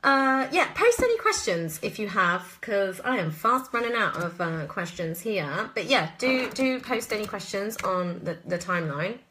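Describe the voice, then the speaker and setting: A woman in her thirties from southwest England with an English accent, an actress chatting in an expressive, rambling way as she answers questions live. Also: medium pitch, normal speed, hardly any pauses. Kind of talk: casual live Q&A chat.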